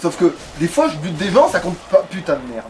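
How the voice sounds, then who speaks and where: A man's voice: a little high, very animated, a little fast, a little mellow. A man chatting casually as he comments on a video game, swearing and joking in colloquial language. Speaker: let's play gamer. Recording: distant mic, noisy background.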